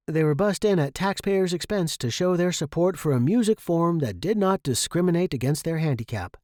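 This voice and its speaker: A man's voice: on the high side, a little mellow, no room sound. Man narrating a story, close dry recording. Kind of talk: expressive first-person short-story narration.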